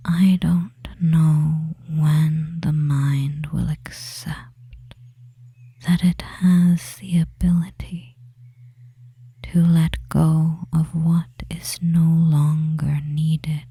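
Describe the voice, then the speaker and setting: A devious, controlling male witch acted out by a performer murmurs in a hushed, breathy whisper. The delivery is extremely slow and very dark in tone, lulling the listener in a hypnotic ASMR roleplay with little rise or fall. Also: medium pitch, heavily acted. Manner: slow, soothing, hypnotic, pausing between short phrases.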